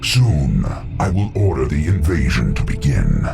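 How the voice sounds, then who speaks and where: An actor playing a colossal, domineering ancient demon lord in a video game, speaking in a booming, slow, menacing way with a gravelly voice. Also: male voice, very animated, full character voice. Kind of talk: video game cutscene acting. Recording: game audio over loud music.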